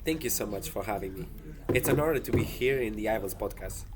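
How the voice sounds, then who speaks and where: A man's voice: lowish voice, fast, heavy background noise. A man from the Azores, speaking in English as a guest. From Azores, Portugal. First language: Portuguese.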